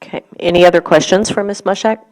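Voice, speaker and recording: A woman runs a public board meeting, moving briskly through agenda items and calling on speakers. Her voice sits a little low for a woman. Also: fairly even intonation, darkish tone.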